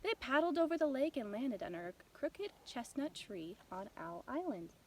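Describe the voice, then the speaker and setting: Woman narrator for children's bedtime tales: high pitch, lively swoops, some background noise. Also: moderate pace. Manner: expressive storytelling. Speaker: storybook narrator. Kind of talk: children's bedtime story reading.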